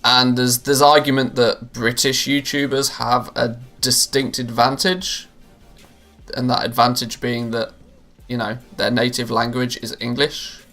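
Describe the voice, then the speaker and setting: A man's voice: medium pitch, little pitch movement, unhurried, pushed and bright, soft background music. A man streaming live and chatting casually with his viewers, rambling with many restarts and fillers.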